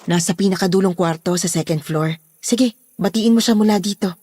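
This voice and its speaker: A woman's voice: mid-range voice, brisk, mellow, breathy edge, natural voice. A woman with a Filipino accent playing a protective, bossy daughter running a household in a horror audio drama. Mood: matter-of-fact.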